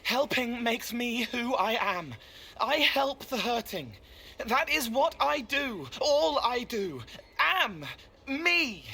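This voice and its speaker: A man playing a gentle, otherworldly young spirit in a video game, speaking earnestly in halting fragments. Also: very high voice, big pitch swings, creaky, husky, heavily acted. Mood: earnest, fragile. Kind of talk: video game cutscene dialogue.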